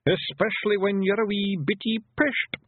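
A man's voice: high-pitched, level tone, normal speed, phone-quality sound, slight background noise, voice pushed up, natural voice. A man voicing a dim, cheerful little pictsie sidekick with a Scottish accent, artlessly helpful, in a storybook reading. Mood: cheerfully helpful.

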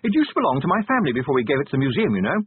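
A man's voice: mid-range voice, very animated, rapid-fire, slightly rough, dry recording, phone-quality sound, voice pushed up. A man voicing a gracious, well-bred older lady in a storybook reading. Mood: proud.

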